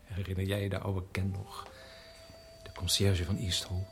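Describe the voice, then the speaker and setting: A man's voice: low-pitched, monotone, moderate pace, gravelly, fairly bright tone, faint music behind. An actor playing a condemned male prisoner, nostalgic and fearful, in a radio play. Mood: wistful, fond. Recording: noisy old radio play recording.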